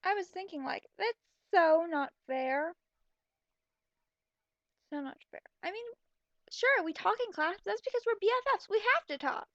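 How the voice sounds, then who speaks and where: Woman doing a high whiny gossipy teen girl from a story, full of herself, creaky, muffled audio. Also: lively intonation, moderate pace, pauses often, no echo. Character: shallow, self-absorbed gossipy teenage girl diarist.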